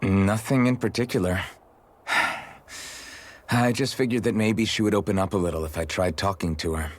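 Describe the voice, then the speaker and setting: Guy acting as young game hero, husky low voice, earnest and patient, music behind. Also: normal speed, natural voice. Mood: earnest, persuasive. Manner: earnest, conciliatory.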